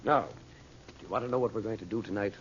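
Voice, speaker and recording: A man's voice: lowish voice, a little fast, close to an everyday voice. An actor playing a kindly, protective uncle in an old radio drama, speaking in a reassuring, fatherly manner. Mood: informative. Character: kindly, steady American uncle, gently protective. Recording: dull, noisy old radio recording.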